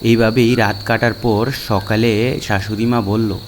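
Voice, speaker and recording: A man's voice: fairly low pitch, flat delivery, a little slow. A man reading scripted narration as the storyteller of a folk tale. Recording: music behind the narration.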